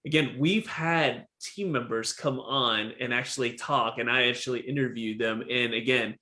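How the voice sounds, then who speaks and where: A man's voice: medium pitch, moderate pace. A man hosting a dental podcast over a video call, chatting casually and often saying "again" and "right?". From Texas. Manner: animated, repeats "again" and "right?". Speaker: podcast host, dentist. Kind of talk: casual interview chat.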